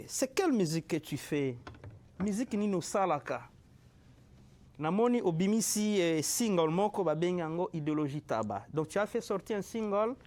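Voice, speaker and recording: A man hosting a local TV interview, halting and repeating himself as he reads aloud and asks questions. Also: high voice, a little mellow. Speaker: TV show host. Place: local TV studio.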